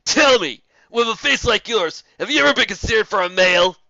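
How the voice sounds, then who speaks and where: A boastful male griffin bully, acted out by a story narrator, throwing scornful taunts in a loud theatrical sneer. The delivery is bright and forceful, swinging widely, in a dull, close recording. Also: mid-range voice, animated, fairly quick, vocal fry, dry recording, voice pushed up. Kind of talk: fan fiction story character voice.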